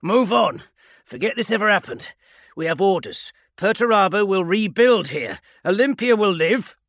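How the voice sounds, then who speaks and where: A man's voice: sits high, lively intonation, moderate pace, a bit bright, dry recording, studio quality, pitched above the performer's own voice. A man doing a grating, commanding space marine sergeant. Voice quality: grating, powerful. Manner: commanding, curt orders. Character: grim armoured male space marine sergeant.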